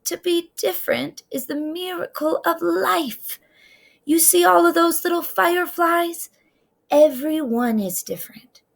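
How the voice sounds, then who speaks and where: A woman's voice: extremely high pitch, measured pace, vocal fry, pitched above the performer's own voice, full character voice. A woman voicing a loving, wise old grandmother from a storybook, tender and emphatic in her reassurance. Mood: tender, reassuring.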